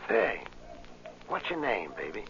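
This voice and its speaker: A man's voice: lowish voice, wildly swooping pitch, measured pace, creaky, a crowd in the background, full character voice. An actor playing a cocky, flirtatious tough guy with slangy, smug swagger in a 1940s radio drama. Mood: smug flirting. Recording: muffled, noisy old radio recording.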